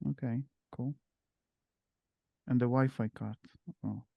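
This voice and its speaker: A man's voice: mid-range voice, fairly even intonation, gravelly, no echo, muffled recording. Polish-accented male host, hesitant, casual, close and dry. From Poland. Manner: halting, many fillers and restarts. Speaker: meetup host. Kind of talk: casual online group chat.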